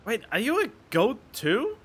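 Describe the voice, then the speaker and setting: A man giving casual let's play commentary as a game streamer, halting and repeating words as he thinks aloud. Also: very high voice, huge pitch swings, noisy background.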